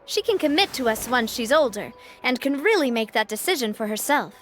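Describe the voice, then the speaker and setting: A woman's voice: on the high side, animated, a little fast, soft background music, close to an everyday voice. An actress playing a confident, poised young woman who leads a syndicate in a video game. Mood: warm, reflective. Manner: poised, assertive. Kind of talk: video game character acting.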